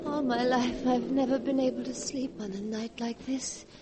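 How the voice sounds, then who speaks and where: An actress playing a possessive dying wife with a menacing streak, speaking slowly and dramatically in a 1940s radio horror play. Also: female voice, a little high, steady tone, close to an everyday voice. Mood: wistful, dreamy. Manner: slow, dramatic, insistent. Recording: noisy 1940s radio broadcast.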